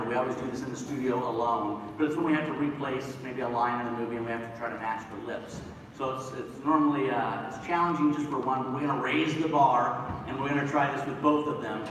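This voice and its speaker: Male panel host, informal and animated, distant miking in a very reverberant hall. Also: mid-range voice, slight background noise. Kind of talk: casual stage presenting. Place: stage in large convention hall, distant audience phone. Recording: echoey hall from far off, loud crowd. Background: loud audience.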